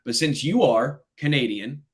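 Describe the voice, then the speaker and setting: A man from the Midwest hosting a sports podcast, teasing and animated in casual chat. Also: measured pace. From Kansas City, US Midwest. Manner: teasing, animated, jumps up in pitch when joking. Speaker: podcast host.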